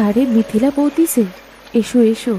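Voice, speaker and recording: A woman acts out a welcoming, chatty neighbour in a cartoon folk tale. Her voice is fairly high, with music clearly playing behind it. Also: normal speed, lightly acted, poor audio. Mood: welcoming, friendly. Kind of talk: scripted cartoon dialogue in a Bengali folk tale.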